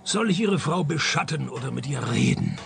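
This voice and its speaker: An actor playing a wry, sarcastic private detective in a radio play. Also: male voice, a little high, moderate pace, vocal fry, music in the background. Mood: sardonic. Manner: dry, deadpan, sarcastic. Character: wry, deadpan male private detective narrating his cases.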